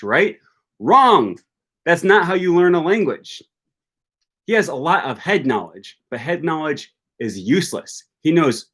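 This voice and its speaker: A young man hosting a live language-learning discussion, talking in an emphatic, teacherly way and often checking in with "okay" and "right.". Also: mid-range voice. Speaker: language learning channel host. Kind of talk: live-stream discussion, reading chat comments.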